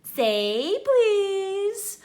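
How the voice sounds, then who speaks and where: A woman's voice: very high-pitched, very animated, drawn-out words, darkish tone, pitched above the performer's own voice, exaggerated voice. A woman voicing a mischievous male poltergeist who taunts in a sing-song voice.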